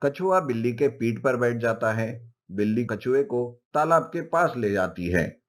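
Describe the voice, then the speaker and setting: A man's voice: mid-range voice, expressive, unhurried. Man narrating a children's animal story.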